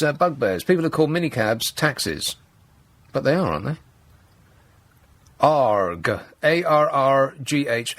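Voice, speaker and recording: A man's voice: mid-range voice, a bit bright, dry recording. A man hosting a radio phone-in, reading texts and talking to callers with dry sarcasm. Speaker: radio talk show host. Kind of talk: late-night radio phone-in. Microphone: studio mic.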